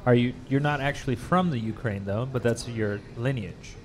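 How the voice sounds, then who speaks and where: A man's voice: mid-range voice, slight background noise. Casual, curious male radio host. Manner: curious, informal, quick follow-up questions. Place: public fair.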